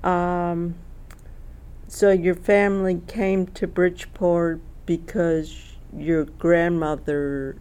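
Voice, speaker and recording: A woman interviewer asking measured, formal questions in an oral history interview. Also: on the low side, little pitch movement, darkish tone, clean tone.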